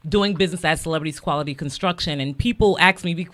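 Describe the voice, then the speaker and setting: A woman's voice: low voice, fast talker, a bit bright. A woman speaking as an interview guest in an emphatic, encouraging conversational style. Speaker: interview guest, singer and business owner. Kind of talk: conversational testimonial. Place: radio studio, indoors.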